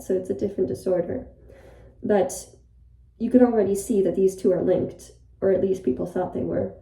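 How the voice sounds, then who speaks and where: A young woman from Ireland whose first language is Polish, talking in a reflective, rambling way in a personal vlog. She is filming in a bathroom. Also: mid-range voice. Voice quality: creaky. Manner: reflective, rambling, soft-spoken. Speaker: vlogger. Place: bathroom, sitting by the bathtub. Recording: echoey bathroom, distant from the mic.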